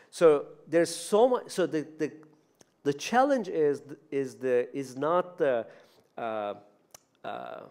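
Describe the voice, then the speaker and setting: A man's voice: on the high side, a little mellow. Turkish-accented man lecturing at a podium. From Turkey. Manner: conversational, many fillers and restarts. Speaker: robotics professor giving a talk. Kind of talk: public lecture. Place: university lecture room with windows. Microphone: podium microphone.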